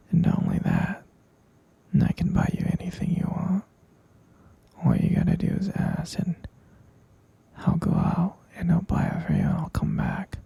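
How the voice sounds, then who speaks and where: Man playing an obsessive, whispering, husky yandere boyfriend. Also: sits high, mellow, heavily acted. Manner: coaxing, repetitive, cooing pet names. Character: obsessive yandere boyfriend captor, man, falsely sweet and possessive. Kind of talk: whispered boyfriend ASMR roleplay.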